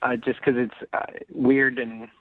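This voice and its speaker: A man's voice: mid-range voice, level tone, measured pace, no echo. A man calling in to a radio show by phone, telling his story casually in a nervous, hesitant, apologetic way. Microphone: phone call. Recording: narrow, tinny phone line.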